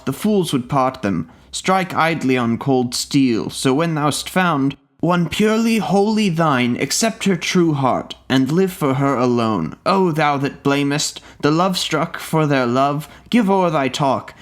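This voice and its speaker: A man's voice: fairly high pitch, lively intonation, measured pace, few pauses. A man doing a humpbacked ifrit declaiming verse. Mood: solemn. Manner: solemn and deferential, declaiming verse. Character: hideous humpbacked horned male ifrit, servile judge. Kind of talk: storybook reading of an old tale.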